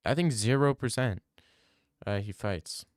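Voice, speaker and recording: Young male streamer, loose profane banter, smooth voice, dry close sound. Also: on the low side, measured pace, no room sound. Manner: loose, profane banter, reads chat aloud and riffs. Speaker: streamer answering chat. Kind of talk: casual live-stream chat.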